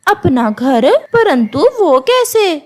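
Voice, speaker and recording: A woman's voice: high-pitched, very animated, slow pace, voice pushed up, heavily acted. A woman voicing a greedy, grumbling crow landlord with a sour manner in a cartoon. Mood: shocked.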